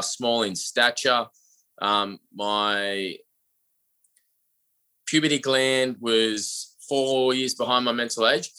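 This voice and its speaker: A young Australian man, a podcast guest, telling his story in an upbeat, energetic way with frequent fillers. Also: mid-range voice, rough recording. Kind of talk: casual interview talk.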